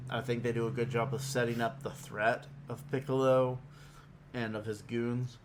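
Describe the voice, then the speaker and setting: A man with a slightly hoarse voice, hosting a podcast and chatting casually. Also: medium pitch, little pitch movement, moderate pace. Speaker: podcast host. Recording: noticeable background noise.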